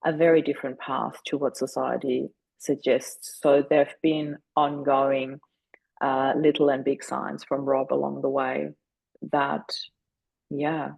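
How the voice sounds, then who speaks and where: Lady in her 40s on a podcast, gravelly voice, earnest and reflective. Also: extremely low pitch, darkish tone, dry recording, rough recording. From Austria. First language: German. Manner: earnest, reflective, emotional retelling. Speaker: podcast guest. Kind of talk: interview storytelling.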